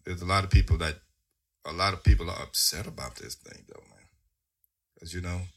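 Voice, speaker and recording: A man's voice: deep voice, steady tone. A man co-hosting a casual podcast chat, teasing and joking with his friends. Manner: teasing, drawn-out talk. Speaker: podcast co-host.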